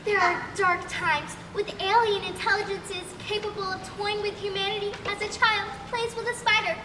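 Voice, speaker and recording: A woman's voice: extremely high pitch, level tone, moderate pace. A young woman playing an earnest, assertive assistant in a stage comedy, with a precise, dramatic delivery. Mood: grave, earnest. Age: young adult. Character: earnest, assertive, overlooked scientist's assistant in radio play. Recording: echoey, noisy stage, distant mic.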